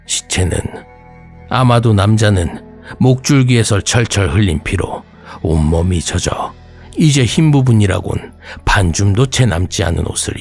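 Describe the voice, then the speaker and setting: Male horror storyteller, low voice, slow suspenseful scripted read with hushed drops, music underneath. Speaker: horror radio narrator. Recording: music bed behind voice. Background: background music.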